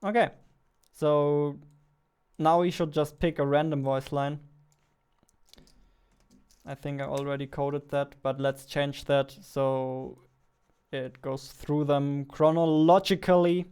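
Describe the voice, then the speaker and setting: A man in his twenties, a game developer, casually thinking aloud in a halting mutter as he works at his desk with a headset on. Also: fairly high pitch, level tone, darkish tone, clear, resonant tone. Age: 20s. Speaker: game developer, host of a devlog. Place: indoors at a desk.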